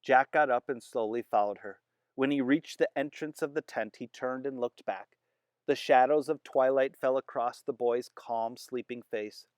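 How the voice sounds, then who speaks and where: A storyteller reading a children's chapter book aloud, with expressive narration. The recording is close and dry, with no room around the voice. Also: mid-range voice, normal speed, clear, resonant tone, no room sound. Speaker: storybook reader.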